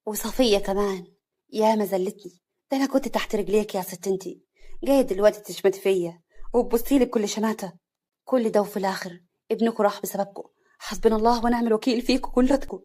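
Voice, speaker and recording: A woman's voice: a little high, steady tone, fast, a little mellow, natural voice. A woman voicing a grieving young wife, bitter and accusing, with an Egyptian accent. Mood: bitter anger. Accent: Egyptian Arabic.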